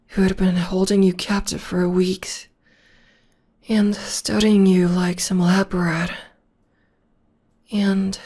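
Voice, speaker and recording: A woman playing a gentle, loving witch girlfriend in an intimate ASMR roleplay, speaking softly and tenderly in a breathy half-whisper. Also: mid-range voice. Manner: tender, slow, soothing. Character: gentle, loving witch girlfriend comforting her demon partner.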